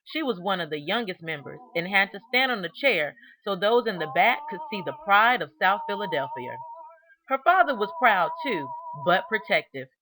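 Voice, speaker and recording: A woman reading a children's biography aloud in an expressive, deliberate storytelling manner, stressing key words. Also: mid-range voice, crowd noise, rough recording. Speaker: storybook reader.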